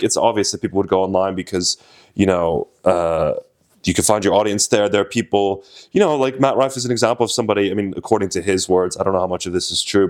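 Fast-talking young man, low voice. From Slovenia. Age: 20s. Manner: rambling, self-deprecating, many restarts. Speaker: comedian guest. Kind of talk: casual interview chat.

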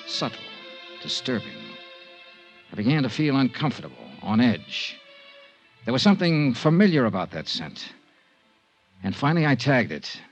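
A man's voice: medium pitch, natural voice. An actor playing a tough, world-weary insurance investigator who narrates his case in terse, clipped, brooding lines in a 1950s radio drama. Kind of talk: 1950s radio drama acting, hardboiled first-person narration. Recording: 1950s radio recording with organ music.

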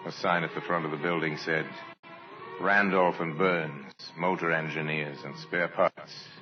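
Grave radio crime narrator, very low, measured and dramatic, dulled vintage sound. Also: male voice, steady tone. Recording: muffled old radio recording, music behind.

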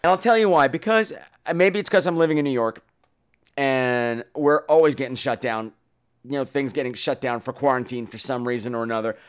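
A man hosting a podcast, giving casual, opinionated and profane film commentary in an animated way. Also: medium pitch, fairly quick, clear, resonant tone. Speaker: podcast host.

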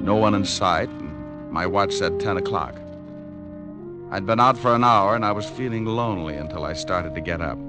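A hardboiled private detective from a vintage radio show, played by a male actor. His American voice sits low, and he delivers wry, laconic lines like a man who has seen it all. Music plays prominently behind him on the old recording. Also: close to an everyday voice. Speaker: lead actor and narrator. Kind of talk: 1950s radio detective acting, hardboiled narration.

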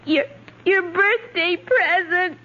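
A woman's voice: extremely high pitch, moderate pace, fairly bright tone, a little hiss or hum. An actress playing a schoolgirl daughter, clinging and defensive, in an old radio drama. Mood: tearful hurt. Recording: dull vintage radio recording.

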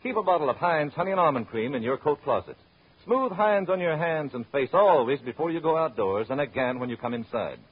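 An American man with a somewhat high, husky voice reads radio advertising copy. He is direct and friendly in the manner of a 1930s announcer. The sound is dull and dated. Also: lively intonation, brisk. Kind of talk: scripted 1930s radio commercial. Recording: muffled vintage radio recording.

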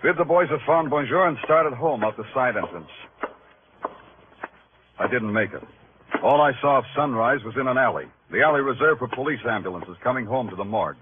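An actor playing a world-weary, hard-boiled New York police detective in terse, streetwise 1950s radio noir style. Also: male voice, mid-range voice, expressive, brisk, phone-quality sound, background noise, close to an everyday voice. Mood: weary narration. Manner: terse, clipped, streetwise delivery. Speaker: lead actor and narrator. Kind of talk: 1950s radio crime drama acting, noir narration. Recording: band-limited old radio recording with music.

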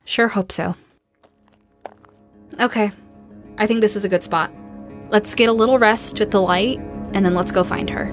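A young woman playing a tough, grieving and determined survivor in an audio drama, speaking earnestly and quietly. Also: mid-range voice, fairly even intonation, moderate pace, pauses often, music in the background, natural voice. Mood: resolute. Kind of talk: naturalistic audio drama acting.

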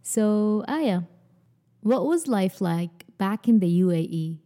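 A young woman from Sudan hosts a podcast conversation. Her voice is very dark and mellow, and she reads prepared lines at a mid pitch in an earnest, personal manner. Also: expressive, slow pace. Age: young adult. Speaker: podcast host.

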